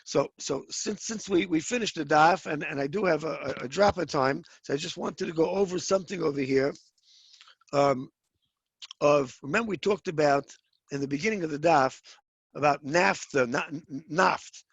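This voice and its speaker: A middle-aged rabbi teaching a Talmud class, explaining step by step with many restarts and Hebrew terms mixed in. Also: male voice, a little high, normal speed, husky, no echo, lo-fi sound. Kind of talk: informal Talmud lecture.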